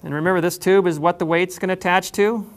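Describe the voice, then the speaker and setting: Man, fishing instructor, fairly high voice, smooth clear tone, plain pauses while showing gear. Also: steady tone. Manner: plain, unhurried explaining with frequent "uh" pauses. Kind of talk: instructional demonstration.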